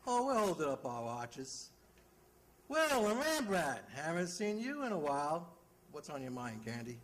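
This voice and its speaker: A lovable shaggy homicide cop in an old radio show, jokey, kinda high voice, really up and down. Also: male voice, very animated, unhurried, fairly bright tone. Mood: jovial. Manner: jocular, folksy, needling. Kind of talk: old-time radio detective drama acting.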